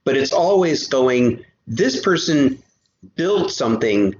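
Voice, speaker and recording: A man speaking as a podcast guest in an animated casual discussion, emphatic and often halting to repeat a phrase. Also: medium pitch. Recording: roomy, dull sound with faint noise.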